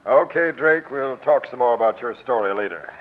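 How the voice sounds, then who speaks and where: An actor playing a gruff, skeptical male homicide captain, brusque and bossy, in old-time radio detective drama style. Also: medium pitch, animated, normal speed, soft background music, natural voice. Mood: brisk. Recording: very muffled, noisy vintage radio recording.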